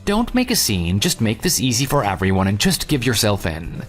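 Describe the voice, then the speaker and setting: An actor playing a vengeful jilted ex-fiancé in an audio drama, with a sarcastic, taunting, smug delivery. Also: male voice, on the low side, wildly swooping pitch, moderate pace, faint music behind. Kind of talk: dramatized phone dialogue.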